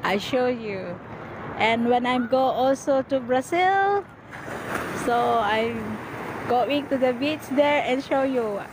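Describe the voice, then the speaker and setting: A woman from the Philippines with a Filipino accent, a native Tagalog speaker, chatting casually as a live-stream host in an effusively thankful, halting way. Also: on the high side, animated. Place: outdoors at a scenic spot. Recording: noisy outdoor live stream, traffic ambience.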